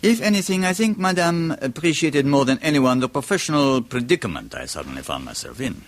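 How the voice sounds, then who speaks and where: A suave French physician with a French accent, speaking in deliberate, softly theatrical phrases. His pitch swings widely as he lingers on each point. The delivery has the polish of 1970s radio drama. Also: big pitch swings. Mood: measured. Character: suave older male French doctor, measured and wry.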